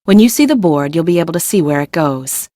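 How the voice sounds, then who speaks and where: A woman playing a confident, blunt, quirky chief engineer in a video game, brisk and offhand. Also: sits low, expressive, dark tone, no room sound, studio quality. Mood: offhand, helpful.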